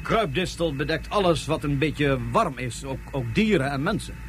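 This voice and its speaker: An actor playing a proud male nomad chieftain who explains things in a measured way, with hesitant pauses. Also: fairly high pitch, animated, slight background noise, lightly acted. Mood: explaining. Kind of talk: radio play acting.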